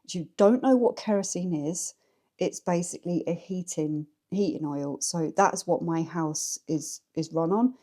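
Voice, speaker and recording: Chatty low-voiced English woman. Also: a little slow, warm tone. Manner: chatty, frank, talks straight to viewers. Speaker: vlogger. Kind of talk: casual chat to camera. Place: her living room at home. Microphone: camera set up in the room.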